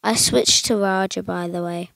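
A young woman chatting casually over a mobile game, rambling with lots of fillers, her voice a little croaky today. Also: mid-range voice, fairly even intonation, normal speed, fairly bright tone, dry recording. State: slightly croaky voice. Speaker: gaming channel host. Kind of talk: casual gameplay commentary.

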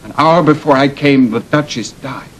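A man acting a formal, mid-pitched messenger. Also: normal speed, slight background noise, close to an everyday voice, low-quality recording. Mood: reluctant. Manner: formal, declamatory. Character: urgent, deferential male bearer of bad news. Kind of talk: classical Shakespearean radio acting.

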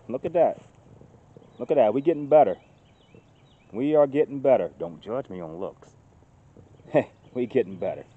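A man's voice: medium pitch, no echo. Chatty man vlogging in the woods. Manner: chatty, gets loud and excited showing off. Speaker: outdoors vlogger. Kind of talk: casual outdoor vlog. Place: outdoors in woods. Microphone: phone camera held at arm's length. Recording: dull lo-fi phone audio outdoors.

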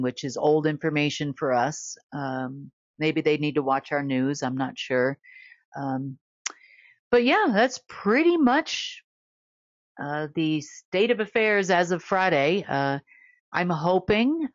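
A woman's voice: sits low, no room sound, lo-fi sound. A middle-aged woman talking to camera in a studio, rambling and easygoing, with many fillers and small laughs. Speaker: guest reporter. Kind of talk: unscripted monologue to camera. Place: studio with plain backdrop.

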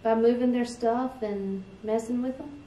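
A woman's voice: fairly high pitch, steady tone, unhurried, warm tone, a little hiss or hum. A woman on a ghost hunt, chatting casually and reacting in short remarks. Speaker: paranormal investigator. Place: dim salon at night. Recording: echoey room from far off.

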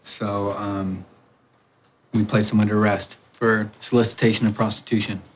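Measured male undercover deputy. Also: fairly low pitch, little pitch movement, slight background noise. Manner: plain and measured with fillers. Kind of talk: recap to camera.

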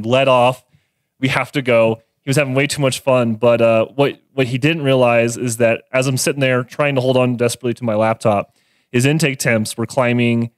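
Male podcast guest, twenties, casual mechanic chat, medium pitch, close dry studio mic. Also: dry recording. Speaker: guest mechanic and car tuner. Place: small garage-styled podcast studio. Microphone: close studio mic on boom arm.

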